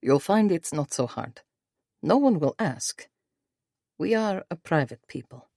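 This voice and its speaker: A woman voicing a cautious, firm, quietly persuasive husband in an audiobook, measured and guarded. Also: deep voice, very animated, no room sound, clean studio sound, heavily acted. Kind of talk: audiobook character voice.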